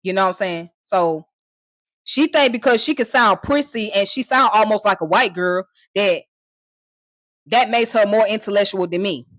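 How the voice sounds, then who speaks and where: Woman streamer, mid-range pitch, heated mocking tirade, dry close recording. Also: normal speed, a bit bright, dry recording. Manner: heated, profane and mocking, with scornful laughs; confrontational, addressing viewers directly. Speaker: online streamer. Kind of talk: ranting live commentary.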